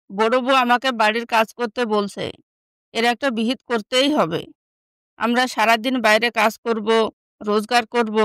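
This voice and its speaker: A woman acts out a scheming, bossy young sister in an animated moral story. The voice is high and clear, with a dry recording. She scolds and belittles in a spiteful, scornful way. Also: no echo, close to an everyday voice.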